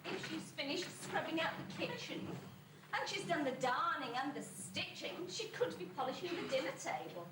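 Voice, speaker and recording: A woman's voice: sits high, very animated, normal speed. A woman playing a catty, gossiping ugly stepsister with haughty, exaggerated delivery in a rhyming amateur stage pantomime. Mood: haughty, bossy. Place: school hall stage, distant microphone. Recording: noisy amateur stage recording, echoey and distant.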